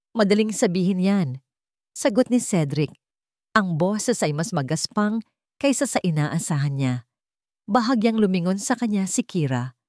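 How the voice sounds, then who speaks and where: A woman's voice: fairly low pitch, darkish tone, no echo, studio quality. A woman with a Filipino accent narrating a scripted romance story in a dramatic radio-drama style.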